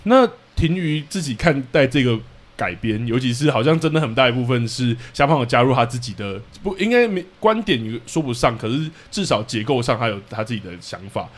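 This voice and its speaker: A man's voice: medium pitch, sing-song, a little fast. A man with a Taiwanese Mandarin accent hosting a podcast, putting questions to guests in a casual conversational way. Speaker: podcast host interviewing guests.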